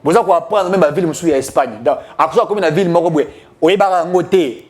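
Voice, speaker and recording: A man's voice: fairly high pitch, fast. A man giving emphatic, declamatory political commentary, mixing French with Lingala.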